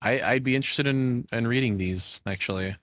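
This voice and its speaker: A man's voice: mid-range voice, a little fast, a bit bright, no echo. A man giving casual, spontaneous reaction commentary as a streamer, enthusiastic and informal, speaking close to the microphone.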